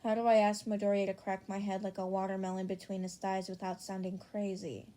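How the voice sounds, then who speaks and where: A woman's voice: medium pitch, flat delivery, brisk, mellow, natural voice. A woman voicing a frank, infatuated teenage student in an audiobook, understated and matter-of-fact. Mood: deadpan longing.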